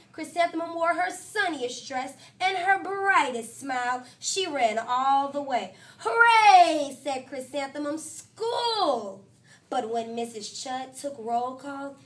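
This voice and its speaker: A woman teacher reads a picture book aloud in her classroom, with a somewhat high voice. She makes the story lively and expressive, lifting the characters' lines. She sounds a little distant from the microphone. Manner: expressive storytelling, voices quotes higher and louder.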